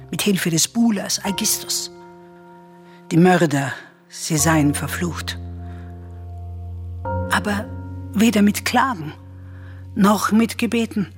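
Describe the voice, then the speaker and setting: An actress as slow, grave, motherly Greek counsellor. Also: lowish voice, lightly acted. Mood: grave, condemning. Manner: grave, deliberate admonishing. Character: sorrowful, motherly counsellor in ancient Greek tragedy. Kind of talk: classical tragedy radio drama acting.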